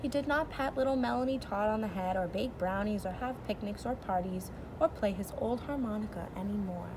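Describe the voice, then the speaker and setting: A woman teacher reading a children's storybook aloud, expressively, and pausing to explain it simply. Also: medium pitch. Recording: clear background noise.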